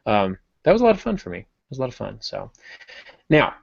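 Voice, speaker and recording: A man's voice: mid-range voice, huge pitch swings, fast talker, vocal fry, slightly rough, dry recording, highs cut off. A man hosting a podcast, talking in a friendly, rambling way with restarts and joking asides. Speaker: podcast host. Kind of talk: casual podcast chat.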